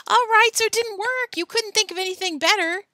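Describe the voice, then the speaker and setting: A woman's voice: mid-range voice, sing-song, a little fast, pushed and bright, no room sound, clean studio sound. A woman voicing a brash, argumentative schoolboy, blunt and defiant, in a children's storybook reading. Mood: defiant shouting.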